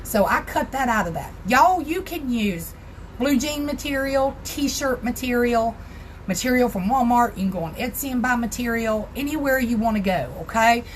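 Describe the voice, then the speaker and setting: A hoarse, raspy-voiced woman with a Southern US accent hosts a casual crafting live stream from her home craft room. She talks in a fairly high voice and greets her viewers by name as she goes. There is a faint hiss behind her. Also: expressive, normal speed, low-quality recording. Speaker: crafting tutorial host.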